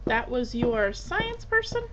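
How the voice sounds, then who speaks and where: An actress playing a brisk, sceptical interviewer from a film crew in an audio drama. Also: female voice, a little high, very animated, lo-fi sound, background noise.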